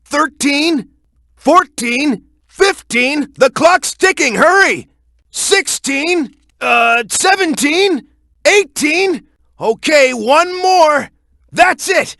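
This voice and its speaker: Bright, high-pitched, hot-headed cartoon hero. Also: male voice, animated, extremely slow, creaky, no echo, studio quality, exaggerated voice. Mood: tense, racing. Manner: earnest, punchy, urgent delivery. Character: gruff, hot-headed, bold young male cartoon echidna fighter. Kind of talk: English video game character voice acting.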